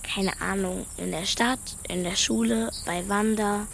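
A woman's voice: medium pitch, fairly even intonation, unhurried, gravelly, slight background noise. A woman playing a frank, stubborn young schoolgirl in a naturalistic children's radio drama, speaking plainly.

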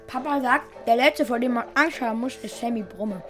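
A high young voice playing a scornful school-age older brother who teases dismissively in a children's radio play. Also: fairly quick, fairly bright tone, soft background music, natural voice. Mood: boastful. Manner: teasing, dismissive.

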